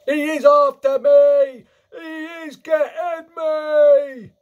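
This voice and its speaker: Screeching, terrified brute of a giant. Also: male voice, very high-pitched, flat delivery, narrow, tinny audio, pitched above the performer's own voice, full character voice. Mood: panicked terror. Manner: bellowing, screeching, begging in drawn-out cries. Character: huge brutish male giant, terrified, pleading in a nightmare.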